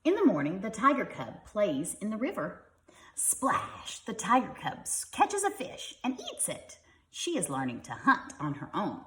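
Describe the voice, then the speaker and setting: A woman's voice: medium pitch, wildly swooping pitch, a little slow, fairly bright tone, reverberant. A woman reading a picture book aloud to young children like a teacher, expressively and with simple explanatory asides.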